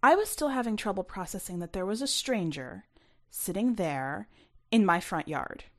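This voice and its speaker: A woman's voice: mid-range voice, expressive, normal speed. A woman reading her own short story aloud as scripted first-person narration.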